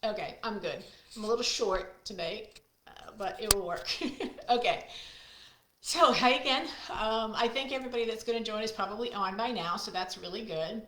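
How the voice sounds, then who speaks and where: A middle-aged woman with a loud, projecting voice, chatting casually with viewers as she hosts a live card-making stream. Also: medium pitch, normal speed, slight background noise. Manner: chatty, friendly, talks to viewers by name. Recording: echoey room.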